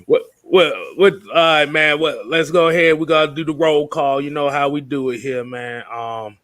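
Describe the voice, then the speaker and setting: Bright-voiced, slangy man hosting casually. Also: on the high side, fairly even intonation, normal speed, no room sound. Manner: informal, slangy, jokey with swearing. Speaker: co-host of a football fan show. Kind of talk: casual live-stream banter.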